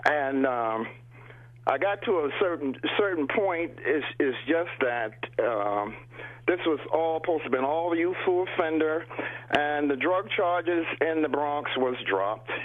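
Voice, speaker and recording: An older man from the United States, a guest on a radio phone interview, telling his story in a halting way with many repeated words. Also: on the high side, expressive. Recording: tinny phone line.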